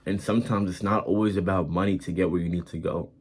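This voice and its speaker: A man's voice: deep voice, steady tone, fast, a little mellow. A man in his twenties giving casual, earnest motivational advice, repeating himself and using casual slang. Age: 20s. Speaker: vlogger giving life advice.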